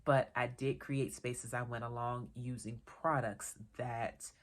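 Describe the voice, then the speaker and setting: A woman vlogger in casual solo chat, talking in a relaxed, chatty, wandering way, a little tired and congested from a cold. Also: extremely low pitch, unhurried. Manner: chatty, relaxed, digressive with small laughs. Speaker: vlogger and author.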